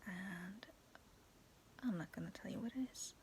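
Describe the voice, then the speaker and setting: Raspy young woman whispering to her camera real slow, little crackly fry in it. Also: mid-range voice. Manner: soft whispering, giggly, slow-paced. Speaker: vlogger. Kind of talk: whispered casual vlog with a ghost story. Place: dim bedroom with fairy lights. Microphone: phone or webcam at arm's length.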